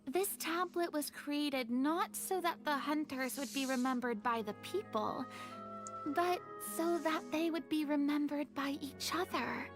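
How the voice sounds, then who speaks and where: An actress playing a calm, cultured young woman hunter in a video game. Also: sits high, unhurried, music in the background.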